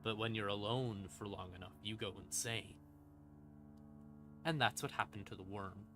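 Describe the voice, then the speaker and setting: A man streaming an informal lore explainer as a storyteller, with a theatrical, halting delivery and dramatic pauses. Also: lowish voice, sharp tone. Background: eerie ambient music.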